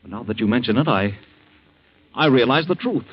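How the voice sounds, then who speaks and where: An actor playing an earnest, aggrieved husband in a theatrical 1940s radio drama. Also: male voice, medium pitch, expressive, fairly quick, breathy edge. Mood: indignant, scornful. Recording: muffled 1940s radio recording.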